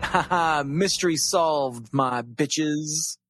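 A man's voice: a little high, slow pace, a bit bright, faint music behind. A man in casual, irreverent podcast banter, speaking flippantly.

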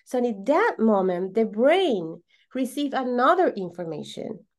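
A woman from Venezuela with a Venezuelan Spanish accent explains things as an interview guest, repeating words for emphasis in halting English. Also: fairly high pitch, sing-song. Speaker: podcast guest, holistic coach.

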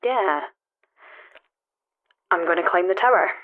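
An actress playing a restless, anxious, sleepless woman in a naturalistic audio drama, speaking haltingly over the telephone. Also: on the low side. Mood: quietly determined. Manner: hesitant, halting, natural phone chat. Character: restless, anxious, sleepless young woman set on climbing a tower. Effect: telephone filter. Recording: thin, narrow telephone line.

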